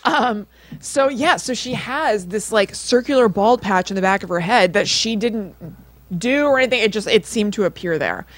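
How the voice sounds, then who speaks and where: A woman's voice: medium pitch, expressive, moderate pace, no echo. Chatty, wryly playful woman telling a story. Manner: rambling, wry, playful teasing. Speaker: podcast co-host.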